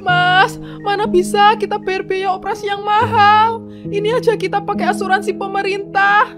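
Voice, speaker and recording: A woman voicing a greedy young wife in a cartoon drama, talking softly and slyly, with music behind her. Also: extremely high pitch, little pitch movement, moderate pace. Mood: worried. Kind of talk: animated cartoon voice acting.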